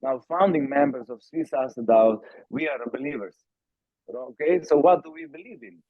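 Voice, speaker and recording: A man's voice: medium pitch, normal speed, no room sound. A man from Slovenia answering podcast interview questions, with many fillers and restarts. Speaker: startup founder, guest. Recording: tinny phone-like call audio.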